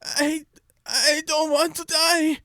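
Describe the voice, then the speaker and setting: An actor playing a timid male henchman in a comic dub, hesitant and pleading, with rough grammar. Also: extremely high pitch, flat delivery, takes it slowly, bright, forceful tone, no room sound, studio quality, exaggerated voice. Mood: fearful, pleading. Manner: hesitant, cowering, uneducated grammar.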